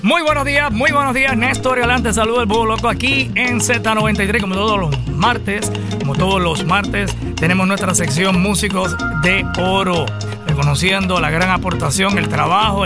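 An energetic Puerto Rican man hosting a radio interview show with enthusiasm. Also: sits high. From Puerto Rico. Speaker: radio host.

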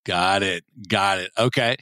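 A man's voice: low voice, measured pace, pushed and bright, clear, resonant tone, no echo, clean studio sound. A man hosting an interview, reacting with enthusiasm and asking long, rambling, multi-part questions. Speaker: podcast host.

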